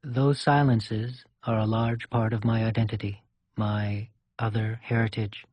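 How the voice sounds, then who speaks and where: A man's voice: lowish voice, flat delivery, a little slow, darkish tone, no echo. A man with a Canadian accent, reading a reflective scripted narration. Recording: dry, muffled audio with music behind. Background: music.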